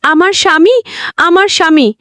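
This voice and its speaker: A woman's voice: extremely high pitch, measured pace, fairly bright tone, dry recording, pitched above the performer's own voice. A woman voicing an eerie female ghost bride who pleads sweetly and plaintively to marry, in a storybook horror tale. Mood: plaintive pleading.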